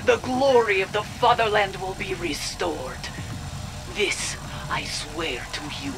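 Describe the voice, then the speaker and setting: An actress playing a proud, fanatical military villainess and devoted daughter in a game audio log, speaking with deliberate, theatrical intensity. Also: female voice, medium pitch, big pitch swings, music in the background, rough recording. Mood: fanatical, resolute. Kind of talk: dramatic video game audio log acting.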